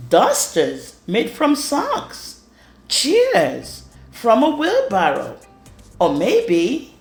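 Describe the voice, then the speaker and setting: A woman reading a rhyming children's storybook aloud in an expressive way. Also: a little high. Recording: roomy echo with music underneath. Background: music.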